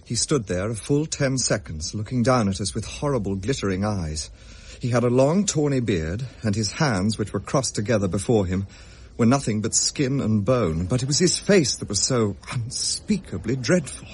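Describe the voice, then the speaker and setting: An actor playing an English gentleman who recounts a ghostly tale in a measured, old-fashioned audio drama style. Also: fairly low pitch, lively intonation, husky. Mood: grave, haunted. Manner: measured, genteel, grave.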